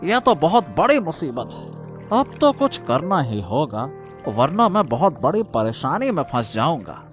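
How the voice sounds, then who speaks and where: A man's voice: fairly high pitch, sing-song, measured pace, soft background music. A man voicing a scheming, defensive boatman in a cartoon story, flustered and exclamatory, often repeating his words. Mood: anxious scheming. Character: scheming, defensive male boatman hiding a secret. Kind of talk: Hindi moral-story cartoon dubbing.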